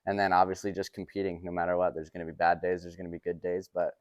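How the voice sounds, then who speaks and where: A man's voice: low-pitched, monotone, fast, dry recording. A man in his twenties from Utah, a baseball coach answering interview questions casually. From Utah, United States.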